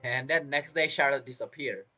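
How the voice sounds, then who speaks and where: A man giving casual gameplay commentary as a streamer, in a halting, rambling, self-interrupting way. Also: mid-range voice, pushed and bright, faint music behind. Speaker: game streamer.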